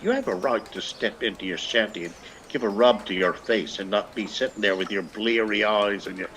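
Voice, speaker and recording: An actor playing a shabby, sharp-tongued old Irish beggar in a radio play, with a mocking, flowery, theatrical manner. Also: male voice, fairly low pitch, a little hiss or hum, close to an everyday voice. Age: older. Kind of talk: radio play acting of rural Irish dialect.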